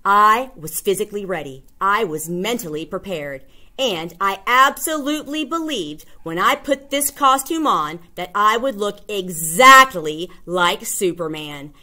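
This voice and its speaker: Animated woman reading a storybook aloud. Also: mid-range voice, unhurried. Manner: animated, emphatic delivery. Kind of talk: expressive children's picture book reading. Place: indoors, small classroom or office.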